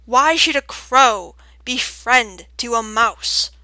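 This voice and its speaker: Woman voicing a slow, sonorous, imperious owl. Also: sharp tone, dry recording. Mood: suspicious, imperious. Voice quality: deep, round, sonorous. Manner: slow, formal, weighty delivery. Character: large, stern, imposing old owl, grave and formal. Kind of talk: audiobook character voice.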